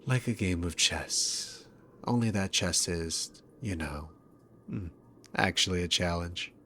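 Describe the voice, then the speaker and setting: A low-pitched demon king played by a man speaks in a slow, theatrical drawl. He is a dominant villain in an ASMR roleplay, mocking and condescending as he toys with his prey. Faint noise sits behind the voice. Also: sharp tone, full character voice. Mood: haughty, playful. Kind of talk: ASMR roleplay acting, addressed to the listener.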